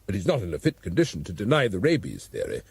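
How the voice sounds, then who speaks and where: A man voicing a loud, exasperated, foul-mouthed police commissioner. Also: low-pitched, wildly swooping pitch, fast talker, gravelly, breathy edge, pitched below the performer's own voice, exaggerated voice.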